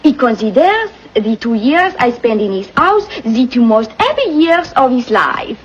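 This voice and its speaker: A coaxing French maid. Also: female voice, on the high side, measured pace. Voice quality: broken English, coaxing and flattering. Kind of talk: classic film acting. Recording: dull old film soundtrack with faint hiss.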